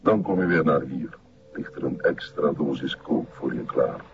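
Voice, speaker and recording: An actor playing a cold, domineering cult master with a Flemish accent, in a terse crime-drama delivery. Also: male voice, a bass voice, moderate pace, noisy background. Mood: controlled, instructing. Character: sinister, commanding cult master controlling an addicted follower. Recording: dull lo-fi TV audio with music.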